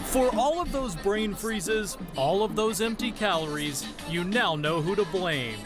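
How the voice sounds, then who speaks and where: A male correspondent reading a scripted news feature in a measured storytelling manner. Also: high voice, lively intonation, over music.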